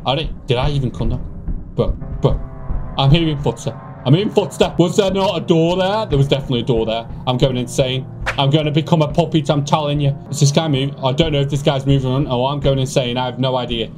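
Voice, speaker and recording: A young man giving casual, animated horror game commentary in a nervous, joking ramble. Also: mid-range voice, a little fast. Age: young adult. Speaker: gaming video host. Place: small room with foam panels at home. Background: eerie game music with organ.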